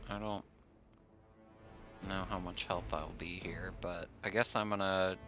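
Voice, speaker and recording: A man works out his game moves aloud in a hesitant, casual way. He speaks very slowly with a bright, forward tone. The recording is a bit dull, with faint music underneath. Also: low-pitched, fairly even intonation. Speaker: tabletop game player.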